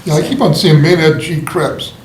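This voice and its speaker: Older man, slightly high voice, roomy. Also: lively intonation, over a noisy crowd. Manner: chatty, nostalgic, halting restarts. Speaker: writers' group member. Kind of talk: casual group discussion. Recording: roomy echo with faint noise.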